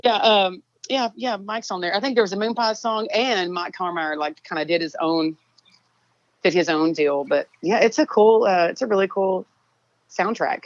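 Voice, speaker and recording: A Texan songwriter, a woman, chatting in an interview, relaxed and rambling with lots of fillers. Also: moderate pace, no echo, highs cut off. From Texas. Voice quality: low singing voice. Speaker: songwriter guest. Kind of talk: casual interview chat.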